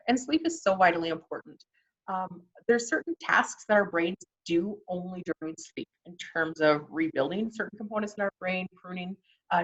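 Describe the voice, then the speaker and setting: Casting: female workshop presenter, Canadian accent, encouraging and conversational, on the lower side, muffled lo-fi sound. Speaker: workshop presenter on mental health. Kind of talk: informal online workshop presentation.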